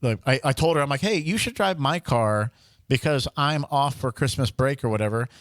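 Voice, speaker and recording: A young man chatting on a podcast who rambles through long stories, restarting sentences and tossing in jokes. His voice sits at an ordinary middle pitch, recorded close and dry in a podcast studio. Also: lively intonation, normal speed, no echo. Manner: rambling storyteller, joking, many restarts. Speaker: podcast co-host. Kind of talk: casual chat.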